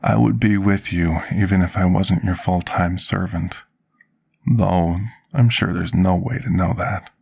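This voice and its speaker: A man playing a devoted, apologetic potion master in a fantasy ASMR roleplay, speaking in a formal, measured, deferential way with a husky, gravelly voice. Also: low voice, steady tone, a little mellow, exaggerated voice. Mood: devoted, earnest. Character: devoted, apologetic male potion master tending a dragon patient.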